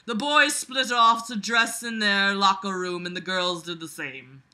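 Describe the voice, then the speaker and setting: A woman with a very bright, forceful voice at an ordinary pitch reads a novel aloud. She breaks off to mock it with sarcastic, exasperated commentary and swears freely. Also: measured pace. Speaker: book reader and commentator.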